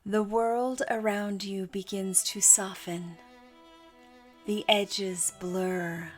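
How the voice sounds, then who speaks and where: A woman narrating a scripted guided meditation in a hushed, deliberate manner with long pauses. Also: mid-range voice, fairly even intonation, over music.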